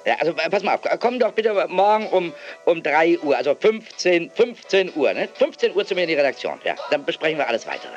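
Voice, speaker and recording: An actor playing a fussy, brusque television editor on the phone in a children's audio drama, stammering and repeating himself. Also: male voice, on the high side, sing-song, brisk. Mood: fussy, insistent. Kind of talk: 1980s German children's audio drama acting. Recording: band-limited telephone line, faint music.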